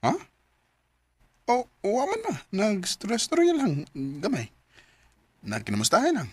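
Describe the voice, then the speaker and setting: A woman's voice: medium pitch, wildly swooping pitch, unhurried, slightly rough, dry recording, full character voice. A woman playing a modest, good-humored young man in his mid-twenties who runs a motor shop. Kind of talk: amateur radio drama acting.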